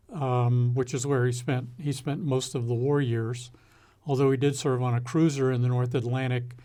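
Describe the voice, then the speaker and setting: An older American man giving a reflective interview, speaking in a measured, halting way with deliberate pauses. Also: mid-range voice, dark tone. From United States. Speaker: interview guest, retired executive and former naval officer.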